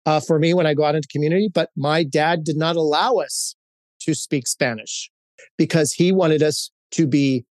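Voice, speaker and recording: A man's voice: fairly high pitch, steady tone, moderate pace, darkish tone, no room sound. Candid middle-aged man, dry sound. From Hawaii, United States. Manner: candid, storytelling, with frequent fillers and restarts. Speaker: guest, corporate executive. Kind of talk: reflective interview answers.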